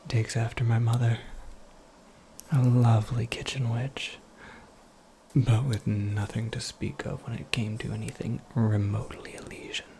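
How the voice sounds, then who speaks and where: A performer voicing an eccentric, absent-minded witch in a breathy, creaky ASMR roleplay, rambling with wry, cryptic politeness. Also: medium pitch, level tone, measured pace, dark tone, slight background noise. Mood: musing, cryptic. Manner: rambling, whimsical, politely formal, self-correcting. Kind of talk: soft-spoken ASMR roleplay monologue.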